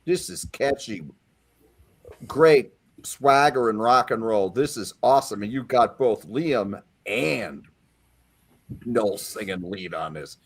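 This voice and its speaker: A man's voice: on the low side, expressive, slow, slightly rough. A man in his fifties, a panelist on a casual livestream music chat, enthusiastic and jokey. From Pennsylvania. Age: 50s.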